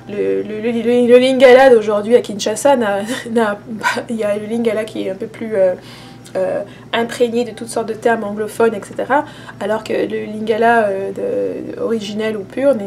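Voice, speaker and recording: A woman's voice: mid-range voice, warm tone. A woman vlogger talking casually to camera as a spiritual teacher, hesitant, with many fillers and restarts.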